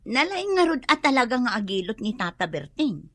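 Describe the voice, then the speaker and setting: A woman's voice: a little high, sing-song, brisk, no room sound. An actress in an Ilocano comedy radio drama playing a wife who scolds her husband for drinking.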